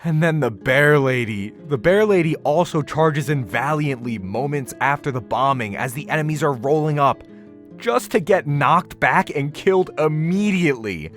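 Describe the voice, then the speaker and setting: A man's voice: a little high, expressive, moderate pace. A man giving a casual, opinionated video essay commentary in an informal, sarcastic manner, with some casual swearing. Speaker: video reviewer and host. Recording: music behind the voice. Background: background music.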